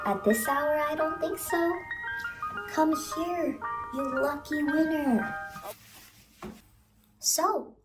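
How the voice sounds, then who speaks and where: A woman voicing a sinister ice cream truck clown in a soft, cajoling, falsely reassuring ASMR horror roleplay, close to the microphone. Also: sits high, lightly acted. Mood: sly, luring. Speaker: ASMR performer.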